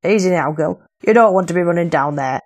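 A woman's voice: lowish voice, brisk, darkish tone. A woman voicing a blunt, tough older rebel leader, in an audiobook. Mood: gruff. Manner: blunt, gruff dialect.

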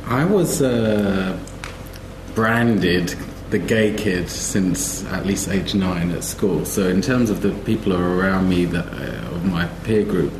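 A man telling a personal story as a panelist at a live event, speaking a little haltingly. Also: low-pitched. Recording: echoey room with background noise.

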